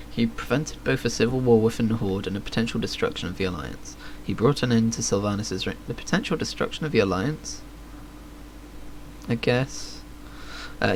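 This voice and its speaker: A male game streamer with a slightly low voice, commenting casually and dryly as he plays. There is faint noise and game ambience behind him. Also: poor audio. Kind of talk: live game stream commentary, reading quest text aloud.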